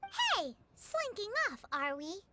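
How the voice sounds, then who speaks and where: A woman voicing a tiny, sassy fairy companion in a video game, squeaky and childlike, with a cute indignant manner. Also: wildly swooping pitch, slow, full character voice. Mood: nosy, suspicious. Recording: loud game music under the voice.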